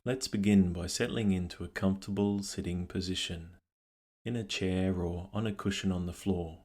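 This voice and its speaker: A man guiding a meditation in a calm, gentle, unhurried manner, leaving pauses between instructions. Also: deep voice. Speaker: meditation guide. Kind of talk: guided meditation narration.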